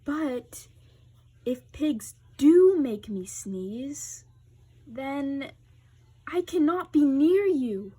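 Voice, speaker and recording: A woman voicing an anxious, melodramatic male elephant in a storybook read-aloud, speaking haltingly with dramatic pauses. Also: sits high, expressive, mellow, exaggerated voice. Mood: worried, despairing. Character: anxious, melodramatic male elephant fretting over his allergy.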